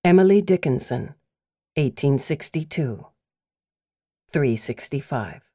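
A woman reads literary writing as an audiobook narrator, slowly and deliberately. Her voice is mellow and moves expressively up and down in pitch, with each phrase precisely placed. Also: lively intonation, dry recording, studio quality. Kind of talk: scripted literary essay and poetry narration.

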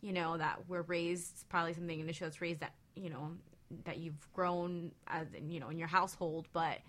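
A woman's voice: on the low side, steady tone, normal speed, fairly bright tone. A woman on a radio panel chatting casually in a rambling, loosely phrased way. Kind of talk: casual chat.

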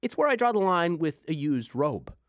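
A man's voice: fairly high pitch, big pitch swings, moderate pace, no echo, clean studio sound. A man co-hosting a radio show, teasing his partner in mock-indignant casual banter. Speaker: radio co-host.

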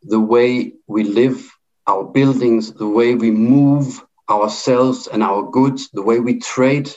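A middle-aged man from Denmark with a Danish accent, speaking in an online debate, halting and full of fillers and restarts. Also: medium pitch, steady tone, a little mellow, muffled recording.

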